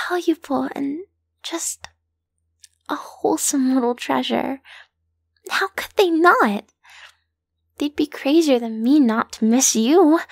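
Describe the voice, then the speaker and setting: A woman voicing a lovesick, possessive yandere kidnapper in an intimate roleplay audio, doting and coaxing in a breathy voice that often sinks into whispers. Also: high voice, no echo, studio quality, full character voice. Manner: doting, coaxing, slow and lingering.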